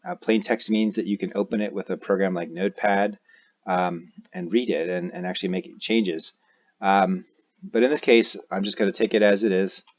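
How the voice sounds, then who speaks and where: An American man giving an unscripted software walkthrough, hesitantly thinking aloud as he goes. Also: low-pitched, fairly even intonation, moderate pace, highs cut off. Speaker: tutorial presenter, amateur radio operator. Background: keyboard typing and mouse clicks.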